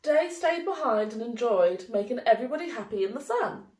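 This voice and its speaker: A woman reading a children's picture book aloud in an expressive, deliberate storytelling manner. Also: a little high. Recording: roomy, echoey indoor sound.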